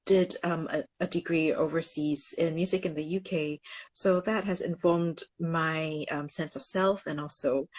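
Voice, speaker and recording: Low-voiced woman from Singapore, dry sound. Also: dry recording, low-quality recording. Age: 40s. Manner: thoughtful, many ums, self-deprecating humor. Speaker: ethnomusicologist guest. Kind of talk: casual online interview. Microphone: video call.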